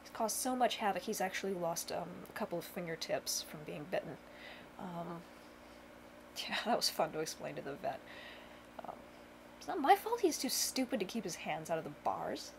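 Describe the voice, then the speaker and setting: A woman's voice: lowish voice, sing-song, normal speed, gravelly, a little hiss or hum, poor audio. A young woman chatting casually to camera at home, rambling with lots of fillers and dry self-mocking humor. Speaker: vlogger. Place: home bedroom.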